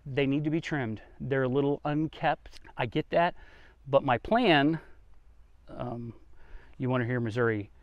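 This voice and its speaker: A man's voice: medium pitch, unhurried, dry recording, highs cut off. A man hosting a garden tour outdoors, talking in a folksy, conversational way as he explains things casually. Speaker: gardening vlogger, host.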